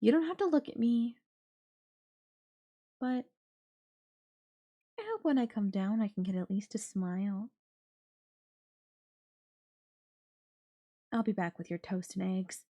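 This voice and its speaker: A woman's voice: mid-range voice, normal speed, long pauses, mellow, lightly acted. A woman voicing a possessive yandere girlfriend in an audio roleplay, coaxing and teasing her captive with veiled threat. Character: possessive yandere girlfriend, sweetly menacing captor. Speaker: voice actor.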